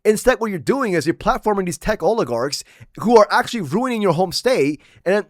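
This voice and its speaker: A man's voice: high voice, big pitch swings, fairly quick, no echo, studio quality. A young man from Maryland giving blunt, slangy political commentary while losing his voice. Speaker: commentary channel host.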